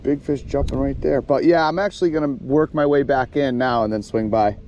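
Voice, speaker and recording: A man in his thirties, a fishing vlogger, chatting casually and swearing from his kayak, with excited whoops when a fish bites. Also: medium pitch, brisk, a little hiss or hum. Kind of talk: casual outdoor vlog chat. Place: outdoors in a kayak on a river. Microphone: action camera on the kayak.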